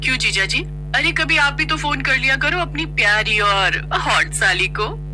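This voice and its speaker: Woman voicing a brazen, lively flirt of a sister-in-law. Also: sits high, a little slow, noisy background. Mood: flirty teasing. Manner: playful, suggestive, giggly teasing.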